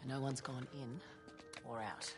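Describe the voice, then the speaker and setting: A woman's voice: extremely low pitch, fairly even intonation, a little slow. An actress playing a sassy, streetwise young woman thief, cool and teasing, in a video game cutscene. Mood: cool, assured. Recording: music behind dialogue.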